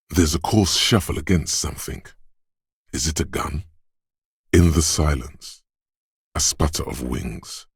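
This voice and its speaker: A very deep, husky male inner voice murmurs slowly in a game. Each detail is laid out in a hushed, unhurried way, close to the ear. The studio sound is dry, with no room around it. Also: no room sound, full character voice. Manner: slow, hushed, deliberate. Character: inner perception voice, male, watchful and intimate. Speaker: voice actor. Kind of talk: game voice-over lines.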